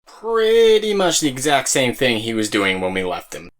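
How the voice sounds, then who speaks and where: A man's voice: medium pitch, huge pitch swings, moderate pace. A man narrating an audio drama as storyteller, with casual asides to the listener.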